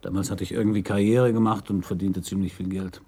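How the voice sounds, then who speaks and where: An actor playing an uneasy, confiding man under police questioning in a German radio crime drama, husky and hesitant. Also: sits low, steady tone, brisk, mellow. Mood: brooding, confessional.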